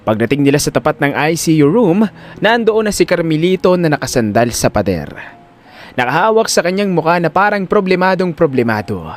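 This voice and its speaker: Animated male audio drama narrator. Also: fairly high pitch, fairly quick.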